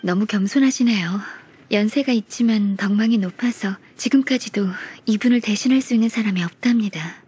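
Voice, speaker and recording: A woman voicing a gentle, modest, dutiful secretary in a video game, speaking softly and formally. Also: medium pitch, fairly quick, breathy edge. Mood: polite, earnest. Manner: polite, soft-spoken, formal. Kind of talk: Korean video game voice acting.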